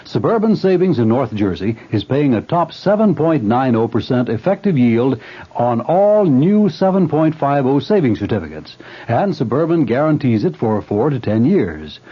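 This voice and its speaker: A man reading a radio commercial in a brisk, persuasive way, on a dull old radio recording. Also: medium pitch, big pitch swings, slightly rough. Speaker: ad announcer.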